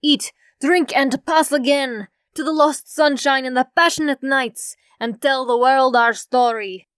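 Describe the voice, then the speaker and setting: An actress playing a wistful, beguiling figure in a poetic drama with a lilting, theatrical delivery. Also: female voice, sits high, a little slow, a bit bright, smooth, no echo, studio quality. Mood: seductive.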